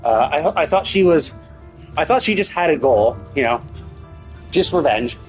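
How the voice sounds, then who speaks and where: A man with a somewhat high-pitched voice talks through a video game as a streamer would. He is casual and opinionated, with plenty of profanity. Music plays faintly behind him. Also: expressive, normal speed. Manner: profane, opinionated, mockingly paraphrases characters. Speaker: livestreamer commenting on a game.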